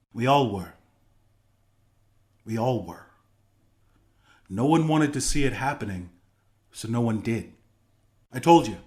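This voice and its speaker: A man's voice: mid-range voice, lightly acted. A young man playing an earnest, plainspoken griffin rebel and former soldier in a video game. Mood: indignant, serious. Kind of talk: video game character dialogue.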